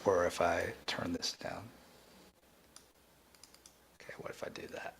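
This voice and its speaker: A man hosting a live coding tutorial, thinking aloud in a halting, informal way and often restarting his sentences. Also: sits low, completely flat delivery, creaky, a bit bright, slight background noise. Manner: halting, self-interrupting, informal. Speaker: livestream host, programming instructor.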